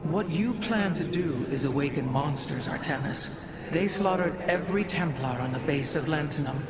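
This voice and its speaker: An actor playing a stern, distrustful alien in a video game, speaking formally. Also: male voice, a little high, normal speed, close to an everyday voice. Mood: stern, doubtful. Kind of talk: dramatic video game acting. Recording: very loud ambient game noise.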